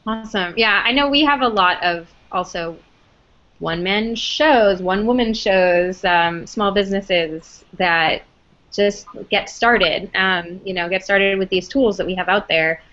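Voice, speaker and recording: A woman hosts a casual web interview with a chatty, conversational delivery. Her voice sits at a medium pitch. The audio is slightly dull, like a video call. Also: unhurried, fairly bright tone. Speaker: host of a software company's web show.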